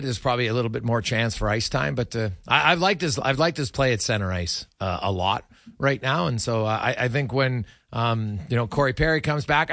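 A man hosting a sports radio show, chatting casually and a bit haltingly about hockey as he thinks out loud. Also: fairly high pitch, dry recording, clean studio sound. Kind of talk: casual hockey analysis chat.

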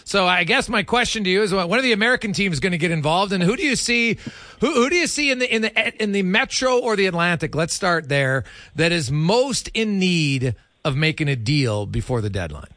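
A man hosts casual sports talk with a bright, forceful voice that sits slightly high. He is recorded close and dry, and he works through his questions conversationally, restarting phrases as he goes. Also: expressive, a little fast, dry recording. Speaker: sports radio show host.

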